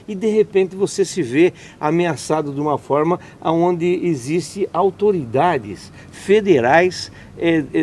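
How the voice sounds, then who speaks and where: A man's voice: on the high side, darkish tone, a little hiss or hum. A Brazilian man hosting an interview, talking indignantly in long run-on sentences with many restarts. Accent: Brazilian Portuguese. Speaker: interview host. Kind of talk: conversational interview.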